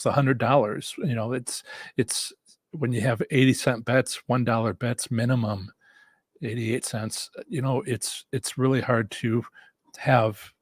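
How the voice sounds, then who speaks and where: A man with a middle-pitched, husky voice that sounds a little worn from overuse. He teaches casually as a live-stream host, halting and circling back over his phrases. The recording is dry and close, with little room sound. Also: no room sound. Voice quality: slightly rough, husky voice. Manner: halting, rambling, repeats and restarts phrases. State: voice worn from a lot of talking. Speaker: host and instructor of a live stream on slots strategy.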